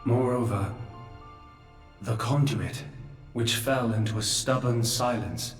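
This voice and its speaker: An actor playing an ancient, remorseful creator figure who speaks slowly and gravely. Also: male voice, medium pitch, close to an everyday voice. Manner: slow, grave, measured. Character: ancient godlike male creator, solemn and remorseful. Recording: echoey far-off voice with hall reverb and music.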